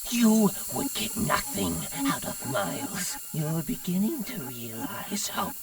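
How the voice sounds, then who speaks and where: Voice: medium pitch, sing-song, recorded from a distance, a crowd in the background. An actor voicing a sinister, coaxing hypnotist villain for a cartoon-style game, drawing the words out hypnotically. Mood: hypnotic, soothing. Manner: slow, drawn-out hypnotic delivery.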